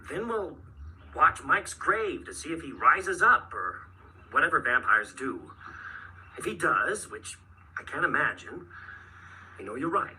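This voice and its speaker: An actor in a radio drama playing a sceptical, level-headed man who reasons haltingly and urgently with a frightened friend. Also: a little high, very animated, pushed and bright, recorded from a distance, exaggerated voice. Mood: grimly practical. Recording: noisy radio drama, off the mic.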